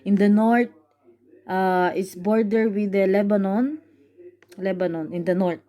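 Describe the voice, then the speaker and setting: A caregiver and vlogger from the Philippines, a woman with a Filipino accent, whose native language is Tagalog, explains events in a halting way, often pausing with fillers to search for words. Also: mid-range voice, fairly even intonation, warm tone. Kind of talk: casual vlog explanation.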